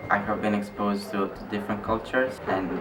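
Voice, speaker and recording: Very deep-voiced man, mic far off. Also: flat delivery, crowd noise. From Greece. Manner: measured, repeats words while thinking. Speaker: interviewee. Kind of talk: personal reflection in interview. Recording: distant mic with loud ambient noise.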